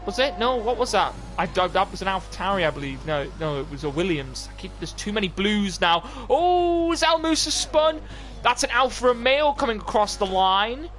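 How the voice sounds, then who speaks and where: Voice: medium pitch, sing-song, moderate pace, fairly bright tone, soft background music. A commentator giving live play-by-play of an online motor race on a stream. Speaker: esports racing commentator.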